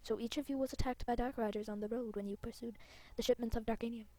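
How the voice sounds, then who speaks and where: A woman playing a concerned leader of fantasy riders in a game, measured and formal with an ominous manner. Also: a little high, steady tone, mellow, no echo, lightly acted. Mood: grave, concerned.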